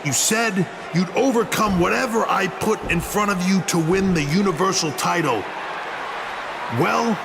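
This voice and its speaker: A smug wrestling boss giving a threatening video game promo. His slightly high voice is gravelly and deliberate, with drawn-out emphasis over clear background noise. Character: menacing male wrestling authority boss, smugly threatening.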